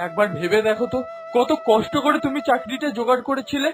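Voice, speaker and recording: A man's voice: extremely high pitch, animated, fast, over music. A man voicing an earnest, anxious husband in a cartoon fairy tale, pleading and moralizing with emphasis. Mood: pleading worry.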